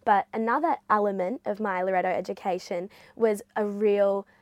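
Young aussie woman talking earnest and passionate, mid pitch, dry close sound. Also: fairly even intonation, moderate pace, no room sound. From Australia. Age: young adult. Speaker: guest. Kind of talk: earnest podcast interview.

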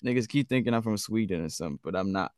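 A man's voice: on the low side, expressive, very fast, a little mellow, slight background noise. A young man from Switzerland, a guest artist, chatting casually in a laid-back way and dropping "bro" into nearly every sentence. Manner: laid-back slang, says "bro" often. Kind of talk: casual interview chat.

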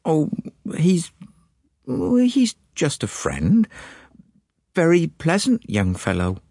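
A man's voice: fairly high pitch, wildly swooping pitch, slow, a little mellow, no echo, studio quality, pitched above the performer's own voice, full character voice. A man voicing a fretful middle-aged lady. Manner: breathless, complaining, prim. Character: fretful middle-aged woman, anxious and indignant. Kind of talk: audiobook character voice.

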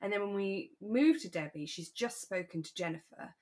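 A woman co-hosting a podcast, talking in a relaxed, reflective and agreeable way about a drama. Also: mid-range voice, normal speed. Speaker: podcast co-host. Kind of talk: relaxed discussion of a radio drama.

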